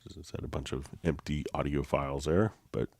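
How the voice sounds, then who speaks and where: A deep-voiced man leads a live software tutorial, nasal and congested from a slight cold. He explains things in a loose, easygoing way, often pausing on fillers. His voice sounds dry and close, with no room around it. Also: steady tone, dry recording. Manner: easygoing, with many fillers and restarts. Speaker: host.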